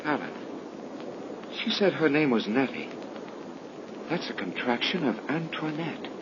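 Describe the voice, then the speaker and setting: Gallant young gentleman, very noisy recording. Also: mid-range voice, sing-song, unhurried. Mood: intrigued. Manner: florid, courteous, formal. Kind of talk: 1930s radio drama acting. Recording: very noisy, muffled 1930s radio recording.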